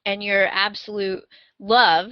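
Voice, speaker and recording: A woman's voice: mid-range voice, level tone, takes it slowly, no echo. Woman podcaster, dry and slightly dull sound. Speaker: podcast co-host. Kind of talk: casual podcast chat with recommendations.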